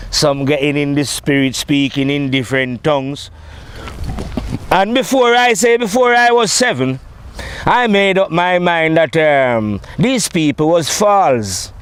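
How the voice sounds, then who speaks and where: A man's voice: mid-range voice, smooth. A middle-aged or older man, casually reminiscing about his own life in a rambling, conversational way. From Jamaica. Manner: rambling, conversational, often checking in with "you know".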